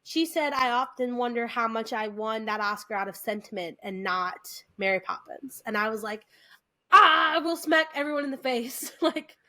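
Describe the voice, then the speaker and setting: An American woman co-hosting a podcast, chatting casually, animated and quick to restart her sentences. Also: fairly high pitch. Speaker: podcast co-host. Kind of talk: casual chat.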